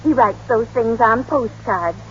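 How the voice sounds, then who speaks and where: A woman's voice: fairly high pitch, unhurried, fairly bright tone. An actress playing a motherly, chatty, folksy old small-town housekeeper in a 1930s radio drama. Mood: chatty, proud. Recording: dull, noisy old radio recording.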